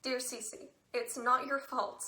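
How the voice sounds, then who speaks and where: A woman's voice: sits high, fairly even intonation, slow, pushed and bright, full character voice. A woman playing a depressed, wry teenage girl reading out letters in a stage drama. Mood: gentle. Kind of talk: one-act play letter monologue. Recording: roomy echoing sound.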